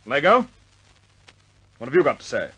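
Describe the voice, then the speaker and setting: An actor in a radio drama playing a stern but wry air force squadron commander who gives clipped orders. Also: male voice, mid-range voice, sing-song, normal speed, bright, forceful tone, husky, heavily acted, poor audio.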